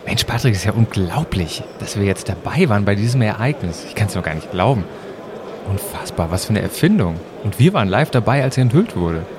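Low-voiced inquisitive man amid background noise. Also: big pitch swings, a little fast. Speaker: co-presenter playing himself as a time traveller. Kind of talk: scripted audio drama dialogue, conversational.

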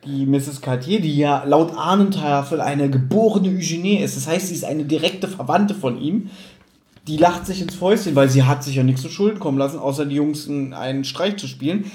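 A man's voice: a little high, fairly quick, darkish tone. A man co-hosting a casual review chat, talking informally in conversation. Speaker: podcast host. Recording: roomy echo, noticeable background noise.

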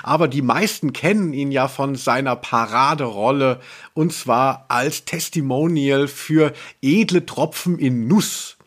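A man's voice: medium pitch, unhurried. A man hosting a podcast in casual, colloquial chat, rambling along and dropping in English slang. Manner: rambling, colloquial, anglicism-sprinkled. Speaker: podcast host.

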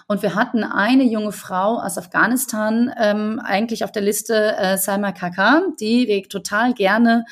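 A woman guest on a podcast, talking earnestly about the people in her book. She pauses often with fillers and restarts her sentences, and her pitch sits in an ordinary middle range. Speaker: correspondent and book author, guest. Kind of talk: conversational podcast interview.

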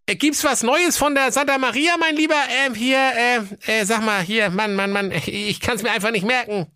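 A man voicing a bumbling, pompous old king who talks in a squeaky, flustered way with lots of ums and ahs. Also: moderate pace, bright, forceful tone, clean tone, no room sound, clean studio sound.

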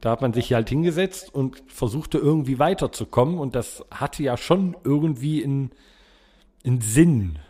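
A man's voice: mid-range voice, animated, normal speed, mellow, slightly rough. A man co-hosting a podcast, chatting casually and telling everyday anecdotes. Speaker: podcast co-host.

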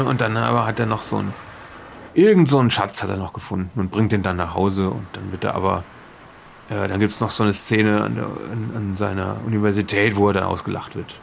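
A chatty traveller played by a man with a low, distinctly creaky voice. He rambles through his account in a hesitant, conversational way, often checking that his listener follows. Faint vehicle noise runs behind him in this improvised audio play. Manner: rambling, hesitant, seeking agreement with little tag questions. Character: chatty, vague male traveller retelling a monster film.

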